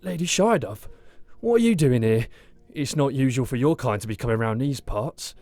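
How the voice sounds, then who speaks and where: An actor voicing a weary, exasperated tavern keeper. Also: male voice, mid-range voice, expressive, normal speed, darkish tone, no echo, close to an everyday voice. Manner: exasperated, grumbling. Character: gruff male tavern keeper, weary and fed up.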